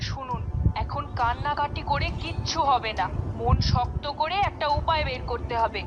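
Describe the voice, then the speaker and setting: A woman with a Bengali accent voicing a queen's dutiful, practical maidservant in a cartoon, deferential but brisk. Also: high-pitched, muffled recording, music in the background, lightly acted. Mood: consoling.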